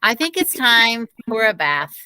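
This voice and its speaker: A woman's voice: fairly high pitch, very animated, a little slow, bright, forceful tone, clean tone, exaggerated voice. A woman playing a wry, teasing but caring mother in a storybook reading. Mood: gentle.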